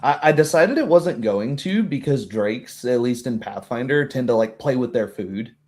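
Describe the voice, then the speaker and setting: A man's voice: mid-range voice, lively intonation, moderate pace, darkish tone. Rambling man explaining a tabletop game. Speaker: game master. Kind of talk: casual post-game discussion on a stream.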